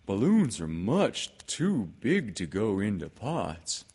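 A man voicing a kindly, slow-witted storybook bear who is childlike, cheerful and earnest, with a halting delivery. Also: lowish voice, wildly swooping pitch, a little mellow, husky, exaggerated voice.

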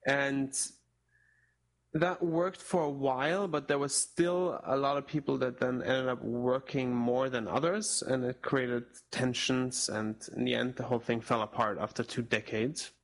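Young male guest, German native, gravelly voice, thoughtful and hesitant, restarts a lot. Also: medium pitch, fairly even intonation. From Spain, raised in Portugal. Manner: reflective, halting with restarts. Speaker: interview guest, musician. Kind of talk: casual interview talk.

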